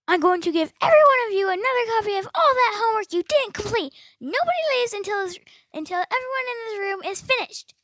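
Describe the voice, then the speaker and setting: Monster schoolteacher acted by a young-sounding female, very high-pitched, tyrannical, loud commanding delivery for an amateur stage story, dry sound. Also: expressive, quick pace, no echo. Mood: furious, menacing. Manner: shouted, commanding. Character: monstrous female teacher, sneering, menacing, tyrannical. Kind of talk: school readers' theatre.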